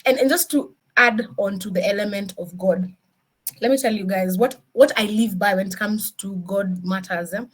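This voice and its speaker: A woman with a Kenyan accent giving an informal motivational career talk as a senior engineer, mixing in Swahili with animated, emphatic rhetorical questions. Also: medium pitch, moderate pace. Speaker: senior DevOps engineer, guest speaker.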